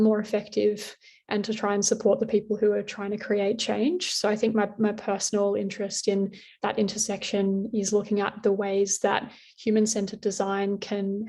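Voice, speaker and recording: Monotone speaker on a panel. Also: female voice, mid-range voice. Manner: friendly, hesitant, many ums. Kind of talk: panel introduction.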